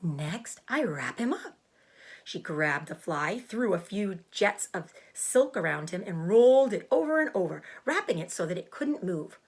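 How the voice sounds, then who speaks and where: A woman's voice: lowish voice, expressive, normal speed, hardly any pauses. A woman narrating a children's storybook aloud as a teacher would.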